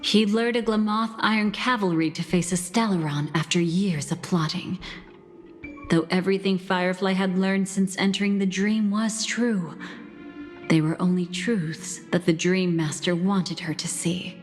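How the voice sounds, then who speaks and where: An actress voicing a calm, enigmatic female storyteller in a video game, narrating in a composed, deliberate, solemn way. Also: lowish voice, natural voice. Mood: composed, knowing. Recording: reverberant, music underneath.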